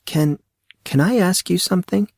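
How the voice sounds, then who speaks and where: A man voicing a guarded teenage girl with a light, calming, slightly nervous tone in an audio story. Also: high voice, moderate pace, vocal fry, no room sound, clean studio sound, voice pushed up. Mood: nervous, amused. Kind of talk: audio drama character dialogue.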